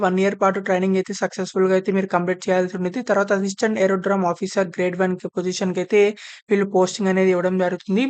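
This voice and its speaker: A woman's voice: mid-range voice, darkish tone, clear, resonant tone. A woman presenting an informal explainer voice-over, repeating her phrasing and mixing in many English terms. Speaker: presenter of job update videos. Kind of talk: informal explainer narration of a job notification.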